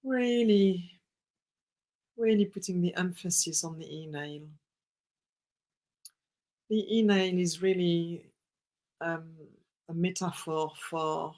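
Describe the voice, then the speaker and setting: Breathwork facilitator, mid-pitched, very slow and soothing, leading a client's guided session. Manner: slow, gentle, soothing instructions.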